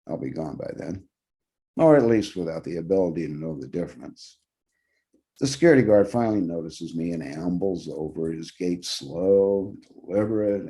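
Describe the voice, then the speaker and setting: Actor guy reading a short story out loud, real deep mellow voice, measured and dramatic first person narration.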